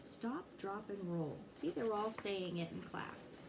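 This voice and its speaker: Expressive woman reading a storybook aloud, unhurried. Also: medium pitch, creaky, noisy background. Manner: engages young listeners with checking questions. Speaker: fire inspector reading a children's book aloud. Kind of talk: expressive children's storybook read-aloud with asides.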